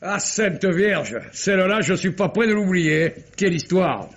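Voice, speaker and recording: A man playing a folksy, rambling bistro owner in an old-style French radio mystery, with a gravelly, husky voice. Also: sits high, expressive, measured pace, a bit bright, soft background music. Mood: garrulous. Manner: colloquial, rambling, exclamatory. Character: chatty, folksy male bistro owner recalling a drama. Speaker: actor. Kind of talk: 1950s French radio drama acting. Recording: muffled old radio recording.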